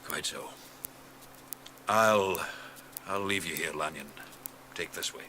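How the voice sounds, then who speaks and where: An actor playing an earnest, idealistic gentleman doctor with a secretive streak, speaking deliberately in a radio drama. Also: low-pitched, slight background noise, full character voice. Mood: reflective. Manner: earnest, insistent, deliberate phrasing.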